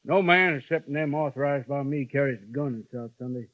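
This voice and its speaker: An actor playing a genial but menacing frontier town boss with a folksy drawl in a 1950s radio western. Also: male voice, medium pitch, a little fast, no room sound, close to an everyday voice. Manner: folksy drawl with a veiled threat. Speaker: town boss who lays down the law. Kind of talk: 1950s radio drama acting. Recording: dry, dull old radio broadcast.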